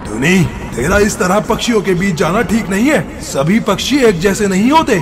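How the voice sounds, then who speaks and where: Man as a high-pitched, cautioning ghost bird. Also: sing-song, normal speed. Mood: admonishing. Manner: admonishing. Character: cautioning, protective male ghost bird friend.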